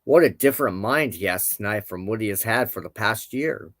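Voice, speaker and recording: A man's voice: on the low side, lively intonation, fairly quick, a bit bright, no echo, studio quality. A man voicing a king's wife in a storybook tale, a frank, formal and reproachful noblewoman. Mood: wondering. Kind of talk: storybook character dialogue in narration.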